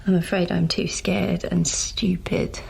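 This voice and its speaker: Slow, gravelly, frightened survivor. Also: female voice, fairly low pitch, flat delivery, darkish tone, heavily acted, poor audio. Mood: scared, self-hating. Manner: halting, breathy whispered confession. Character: frightened, self-blaming young woman survivor of a Martian invasion. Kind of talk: audio drama acting.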